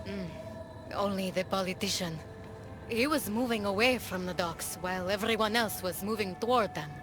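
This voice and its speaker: A woman's voice: mid-range voice, moderate pace, over music, lightly acted. An actress playing a puzzled dead woman in a video game, recalling things in a hesitant, searching way. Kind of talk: video game dialogue acting.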